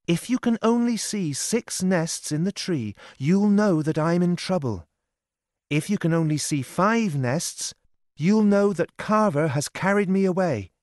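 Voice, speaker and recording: A man voicing a sad, frightened young woman in danger, speaking softly and pleadingly. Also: on the high side, no room sound, clean studio sound, pitched above the performer's own voice. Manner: soft, pleading, whispered.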